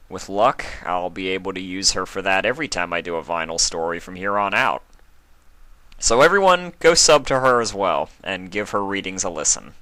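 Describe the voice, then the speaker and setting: A man speaks casually to his audience as a story-reading host. The voice is low with a bright edge, in a dry, close recording. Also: clear, resonant tone, no room sound. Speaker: host of a fan fiction reading channel. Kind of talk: casual sign-off to listeners.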